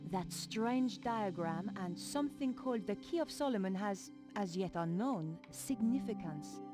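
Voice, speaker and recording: A woman's voice: mid-range voice, lively intonation, unhurried, music in the background, lightly acted. An actress playing a determined young woman journalist in a video game. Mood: focused. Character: young female investigative journalist, earnest and determined. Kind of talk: video game voice acting, narration and dialogue.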